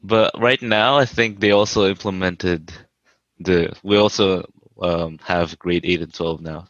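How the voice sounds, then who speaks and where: A young man with a very deep voice talks as a podcast guest, his answers short and halting. The sound is dull and dry, a bit muffled and with no room echo. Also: fairly even intonation, fairly bright tone. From Philippines. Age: young adult. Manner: hesitant, short replies, laughs often. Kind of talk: casual chat.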